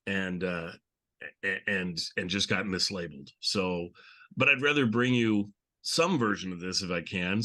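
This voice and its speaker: A man with a very bright, forward voice hosts a casual podcast. He rambles along conversationally, thinking out loud as he goes. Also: low-pitched. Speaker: podcast host. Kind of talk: casual chat.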